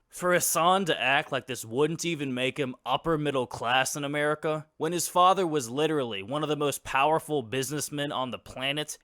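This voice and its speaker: A man's voice: a little high, fairly bright tone, no room sound, studio quality. A man narrating commentary in a matter-of-fact, pointed way.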